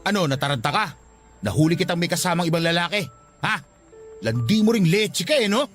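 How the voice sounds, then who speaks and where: A highish, very animated male voice plays a jealous, bullying Filipino boyfriend. He is curt, scornful and domineering, in radio drama style over music. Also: a little fast. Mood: angry, jealous. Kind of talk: Tagalog radio drama acting. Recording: music bed behind the voice.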